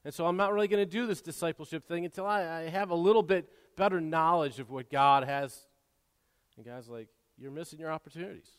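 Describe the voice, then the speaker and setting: A man preaching a sermon, swinging between quiet asides and loud, impassioned exhortation, often repeating and restarting words. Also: on the high side, brisk, smooth. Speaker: pastor. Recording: long hall reverb.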